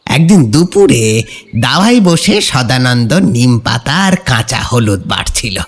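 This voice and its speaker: A man narrating a folk horror story in a suspenseful, theatrical way with drawn-out pauses, as a scripted dramatic reading. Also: medium pitch, big pitch swings, slight background noise. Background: background music.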